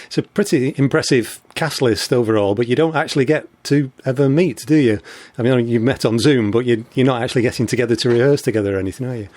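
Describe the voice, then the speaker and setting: Male arts interviewer, mid-pitched, dry close recording, conversational presenting style. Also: fast, darkish tone, no room sound. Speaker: interviewer and host.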